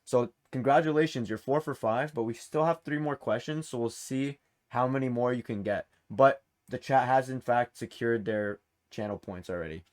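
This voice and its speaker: A man's voice: medium pitch, normal speed. A man hosting a quiz in a casual, informal and encouraging way. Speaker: quiz host. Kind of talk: casual game-show hosting.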